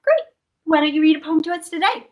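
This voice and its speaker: A woman's voice: sits high, animated, fast talker. A woman hosting a live storytime for children, playful and exclamatory, talking straight to her young viewers.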